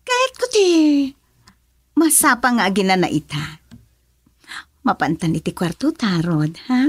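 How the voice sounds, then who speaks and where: A woman's voice: mid-range voice, huge pitch swings, measured pace, breathy edge, no echo, studio quality, full character voice. A woman playing a chatty, affectionate wife in a radio drama.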